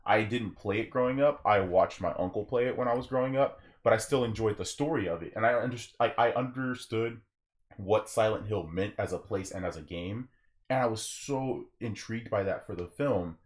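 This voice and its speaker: A man's voice: on the low side, normal speed. A young man hosting a gaming podcast, talking casually and giving his opinions in rambling stories with acted-out asides. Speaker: podcast host.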